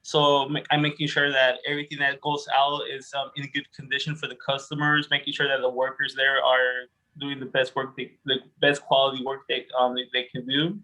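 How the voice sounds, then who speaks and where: A man's voice: mid-range voice, completely flat delivery, brisk, hardly any pauses, pushed and bright, low-quality recording. A man in his twenties, a native Spanish speaker, giving long answers full of fillers and self-corrections on a video call. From Arizona, United States. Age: 20s. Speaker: guest speaker. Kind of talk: casual conversational answers.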